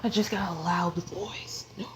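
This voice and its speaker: A woman's voice: lowish voice, fairly even intonation, a little slow, background noise. A woman casually chatting to viewers in an app tutorial, talkative and often dropping into a whisper.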